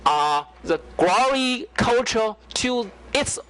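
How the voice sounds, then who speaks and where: A man speaking on a forum panel, careful and halting, with frequent hesitations. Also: very high-pitched, lively intonation, a bit bright, clear, resonant tone. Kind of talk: public forum remarks.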